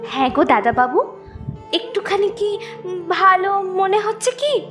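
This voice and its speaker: A woman's voice: very high-pitched, big pitch swings, a little slow, a bit bright, soft background music, heavily acted. A woman voicing a devoted, anxious young village girl in an audio story, with tender, eager questioning. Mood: tender, concerned. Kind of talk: audio story drama acting.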